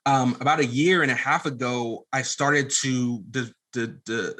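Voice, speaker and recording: A man with a bright, forward voice at a medium pitch talks as a podcast guest. His delivery is casual and earnest, wandering informally through his stories. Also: steady tone, measured pace. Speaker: podcast guest, filmmaker and former pastor. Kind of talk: casual conversational storytelling.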